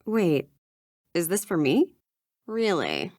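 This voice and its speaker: Awkward young woman in a video game, halting and noncommittal. Her words come slowly but swing in pitch, with a dry, close sound. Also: wildly swooping pitch, darkish tone, no room sound, full character voice. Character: awkward, hesitant, politely underwhelmed young woman.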